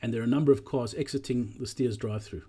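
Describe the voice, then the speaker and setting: A man narrating a walkthrough presentation in a measured, explanatory way. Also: medium pitch, fairly even intonation, mellow, husky.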